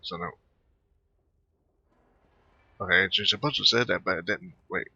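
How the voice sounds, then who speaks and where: A man's voice: low-pitched, quick pace, gravelly, pushed and bright, breathy edge, no room sound. A man doing casual let's-play commentary and reading game dialogue aloud, recorded close on a lo-fi microphone. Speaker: gamer.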